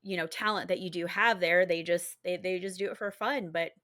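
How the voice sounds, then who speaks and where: A woman's voice: lowish voice, steady tone, a little fast, fairly bright tone. A woman hosting a podcast interview in casual, informal chat, sometimes repeating phrases as she thinks.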